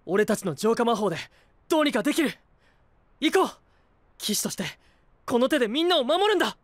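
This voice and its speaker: A man's voice: extremely high pitch, sing-song, brisk. An actor playing an earnest, driven young male knight in an anime voice drama. He talks bluntly and impatiently. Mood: determined.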